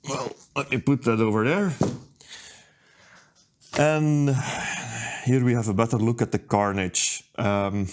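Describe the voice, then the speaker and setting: A man talking through a hands-on repair at his workbench, unhurried and thinking aloud with plenty of ums and uhs. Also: medium pitch. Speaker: repair video host. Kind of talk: casual how-to narration.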